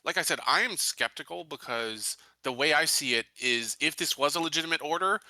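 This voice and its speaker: Male tabletop player, piercingly bright tone, thinks out loud and queries things, dry close sound. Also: on the high side, lively intonation, fairly quick, dry recording. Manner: questioning, thinking aloud. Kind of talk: casual game-table chat.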